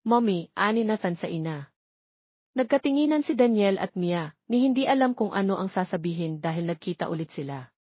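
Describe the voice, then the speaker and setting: A woman narrates a scripted romance story. Her voice sits at a middle pitch. The recording is very dry and a touch muffled. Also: lively intonation, brisk, breathy edge, no room sound. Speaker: audiobook narrator.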